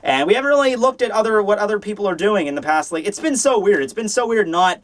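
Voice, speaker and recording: A man hosting a manga review, talking in a casual, opinionated, rambling and animated way with casual swearing. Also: high-pitched, fast talker. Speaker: host of a manga review show.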